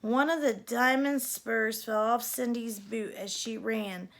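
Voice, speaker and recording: A woman reading a children's story aloud as an expressive narrator. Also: on the high side, takes it slowly. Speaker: storybook reader.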